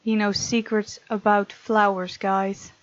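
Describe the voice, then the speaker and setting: A woman's voice: medium pitch, flat delivery, slow, no echo, dull audio. A woman hosting a gaming let's play, reading aloud and adding chatty, casual asides. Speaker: gaming video host.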